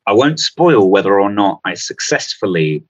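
A man's voice: on the low side, sing-song, moderate pace, no echo, highs cut off. A man chatting casually as a comedian guest, rambling through anecdotes in a self-deprecating way.

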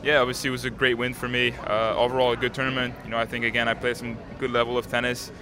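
Young canadian guy, french native, chill and steady, lots of uh and you know, flat tone, crowd buzzing around. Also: medium pitch, fast talker. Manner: calm, composed, many filler uhs and you-knows. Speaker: tennis player, interviewee. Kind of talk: casual interview answers. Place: outdoors at a tennis stadium. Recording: crowd noise behind.

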